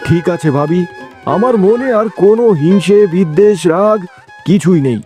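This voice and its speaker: A man voicing a gentle, forgiving younger brother in a melodramatic village cartoon, given to exclamations. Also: sits high, moderate pace, faint music behind, lightly acted.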